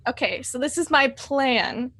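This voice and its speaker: A woman's voice: high-pitched, animated, a little slow, a bit bright. A woman chatting casually and playfully as a player in a tabletop roleplaying game.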